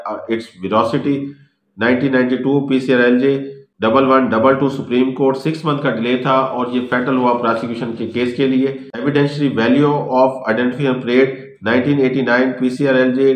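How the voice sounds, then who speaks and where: A man with a Pakistani accent giving an explanatory law lecture, reading out points in English and then explaining them didactically. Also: mid-range voice. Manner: didactic, switches between English phrases and explanations. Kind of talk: explanatory lecture mixing read-out points and commentary. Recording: echoey room.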